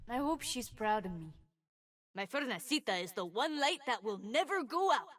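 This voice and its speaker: A woman voicing a cheerful, determined twelve-year-old boy fire mage in animated video game lines, earnest, eager and full of exclamations. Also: fairly high pitch, normal speed, over a noisy crowd.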